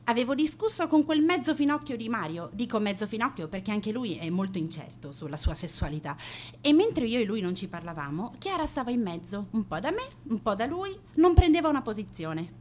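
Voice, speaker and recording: A woman's voice: a little high, sing-song, brisk, slight background noise. An actress playing a proud, manipulative teenage schoolgirl in a radio play monologue, sardonic, self-justifying and defiant. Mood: bitter.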